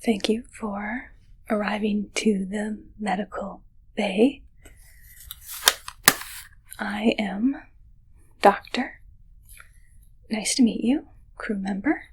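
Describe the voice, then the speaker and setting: Woman playing an awkward, formal alien posing as ship's medic: hushed ASMR whisper, very unhurried, halting, faint noise behind. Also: mid-range voice, rough recording. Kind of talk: ASMR roleplay, mostly whispered.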